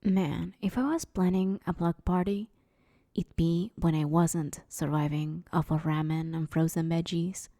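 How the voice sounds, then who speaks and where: A friendly but sheepish female supervillain from next door, acted out by a woman for an audio roleplay. Her voice is on the low side and mellow, with a lot of fry in it. She rambles casually and hesitantly, like someone hard up who needs a favor. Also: steady tone, measured pace, no room sound, studio quality. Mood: rambling, awkward. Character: awkward, broke, friendly female supervillain neighbor asking favors. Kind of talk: roleplay audio drama, casual conversational monologue.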